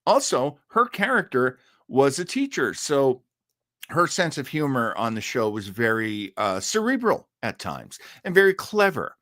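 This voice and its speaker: A man's voice: mid-range voice, sing-song, unhurried, fairly bright tone, no echo, clean studio sound. A middle-aged man hosting a live stream, chatting casually and reading viewer comments in a rambling, enthusiastic way with frequent hesitations. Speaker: live-stream host of an old-time radio show.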